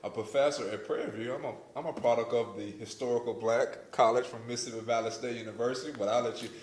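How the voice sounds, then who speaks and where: A man hosting a casual church interview, enthusiastic and quick with "wow" and "awesome". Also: medium pitch, steady tone, roomy sound. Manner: enthusiastic, says wow often. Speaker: host.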